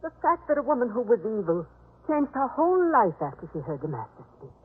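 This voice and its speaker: An actress playing a gentle, principled mistress of a biblical household in a 1950s radio drama, speaking in an earnest, imploring way. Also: female voice, fairly high pitch, very animated, fairly quick, breathy edge. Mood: pleading. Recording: muffled narrowband 1950s radio recording.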